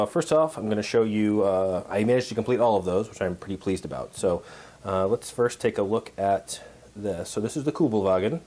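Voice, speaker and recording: Hoarse man with a cold, halting delivery. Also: fairly low pitch, darkish tone. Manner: halting, many uh and um fillers. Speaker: miniature painting hobbyist. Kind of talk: casual show-and-tell vlog.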